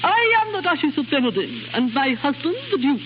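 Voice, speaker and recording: An actress playing a haughty middle-aged English duchess, imperious and formal, in 1930s radio drama style. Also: female voice, sits high, sing-song, fairly quick. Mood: stiffly polite. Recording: muffled, noisy 1930s radio recording.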